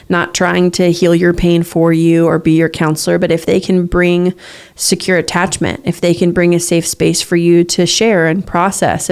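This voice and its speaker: A woman's voice: lowish voice, a little mellow. A young adult woman co-hosting a relationship podcast, talking in an earnest, flowing, encouraging way. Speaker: podcast co-host. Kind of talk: casual podcast chat about relationships.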